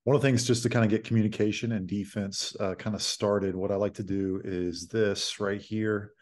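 Low-voiced coach explaining a drill. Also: male voice, little pitch movement, normal speed, darkish tone. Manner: plain, explanatory, many fillers. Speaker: basketball coach guest. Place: home office indoors. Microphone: video call webcam.

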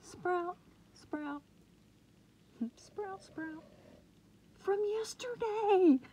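A woman chatting excitedly about her seedlings, sometimes dropping to a murmur, recorded on a handheld phone indoors. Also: very high-pitched, very slow, background noise. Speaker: gardening vlogger. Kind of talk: casual home gardening vlog. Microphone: phone camera held close.

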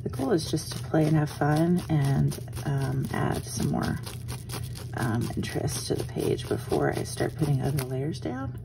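A woman with a hoarse morning voice, chatting casually as she walks through a craft tutorial at her desk, often trailing off into soft murmurs. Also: deep voice, animated, a little slow, background noise. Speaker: art journaling instructor. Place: home craft desk, indoors.

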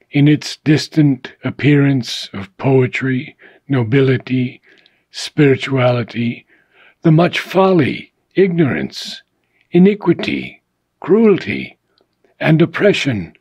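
A man reading philosophical prose aloud in a measured, deliberate manner, phrase by phrase with pauses. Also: mid-range voice, a little mellow, slightly rough, no room sound. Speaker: reader.

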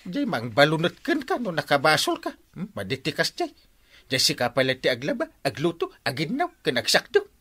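An actor with an Ilocano accent playing a cranky, boastful, mocking uncle in a radio comedy drama, with a husky voice and scoffing delivery. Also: male voice, fairly high pitch, huge pitch swings, moderate pace, pushed and bright, full character voice. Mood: mocking, scolding.

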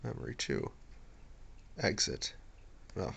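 A man's voice: deep voice, sing-song, slow, gravelly, a bit bright, slightly rough. A man giving casual setup commentary, muttering to himself and reading screen prompts aloud. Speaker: amateur gadget reviewer. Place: small indoor room at home. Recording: faint background noise with device clicks.

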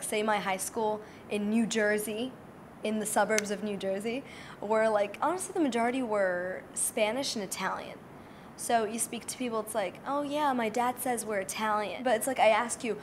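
A woman's voice: on the high side, moderate pace. A young woman, from Egypt and raised in the United States, talking casually with lots of fillers and switching into Arabic now and then. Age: young adult. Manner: chatty, many fillers, slips into Arabic. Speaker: documentary subject. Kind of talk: casual interview talk. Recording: audible background noise.

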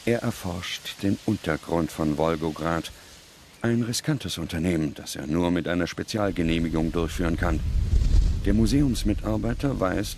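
A man delivering grave, measured scripted narration as a documentary narrator. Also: low-pitched, background noise.

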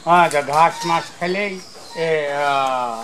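A middle-aged farm manager answering questions outdoors in plain, halting speech, speaking into an interviewer's handheld mic. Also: male voice, on the high side. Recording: noisy outdoor recording.

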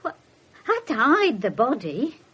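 An actress playing an aggrieved, defensive English spinster in a radio drama, speaking haltingly. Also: female voice, on the high side, wildly swooping pitch, fairly bright tone, husky, heavily acted. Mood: halting confession. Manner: halting, faltering. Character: aggrieved, defensive cat-owning spinster confessing to violence. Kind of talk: 1970s British radio drama acting. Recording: muffled 1970s radio broadcast.

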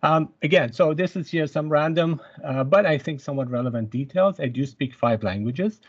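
Halting man on a dull video call. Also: fairly high pitch, breathy edge. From born in Iran, grew up in Germany. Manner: halting, many fillers and restarts. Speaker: university instructor giving a talk. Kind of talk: presentation over video call. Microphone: video call webcam mic.

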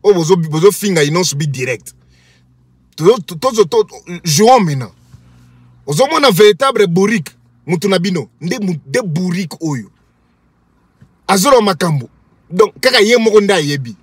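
A man talks straight into a phone held at arm's length from the seat of a parked car. His voice sits high and a little husky, and the sound is close and dry. He mocks and insults his target with heavy emphasis. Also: animated, moderate pace, pauses often, dry recording. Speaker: commentary vlogger. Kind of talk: mocking commentary vlog in Lingala and French. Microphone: phone camera at arm's length.

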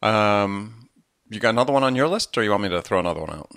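A man's voice: lowish voice, lively intonation, fast talker, fairly bright tone, no room sound, clean studio sound. A man hosting a podcast, talking in a casual, conversational way. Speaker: podcast host.

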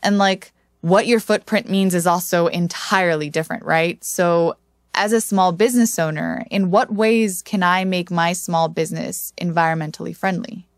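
A woman's voice: lowish voice, steady tone, moderate pace, no echo, studio quality. A woman hosting a podcast, moving between scripted narration and interview questions. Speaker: podcast host.

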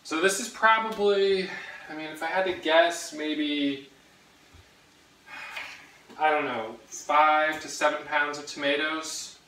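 A man's voice: on the high side, slow, pauses often, distant mic, slight background noise. A man in his thirties in a casual cooking vlog, rambling with many fillers as he chops, recorded in his home kitchen by a camera across the room. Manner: rambling, relaxed, many fillers while chopping. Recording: echoey kitchen, voice from off-mic.

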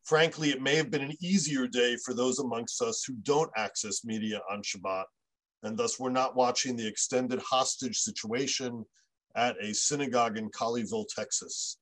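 A man's voice: medium pitch, fairly even intonation, moderate pace, a bit bright, low-quality recording. An American man in his fifties, a rabbi giving prepared remarks over a video call. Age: 50s. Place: room at home, indoors. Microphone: computer mic on a video call.

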